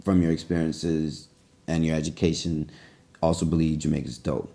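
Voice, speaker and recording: Deep-voiced man interviewing casually. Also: level tone, moderate pace, creaky, mellow. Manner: friendly, teasing questions. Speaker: podcast co-host.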